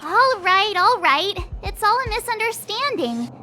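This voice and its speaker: A woman voicing a perky, breezy, self-assured teenage girl adventurer in an anime-style video game, chirpy and expressive. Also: very high voice, a little slow, faint music behind, exaggerated voice. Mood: placating.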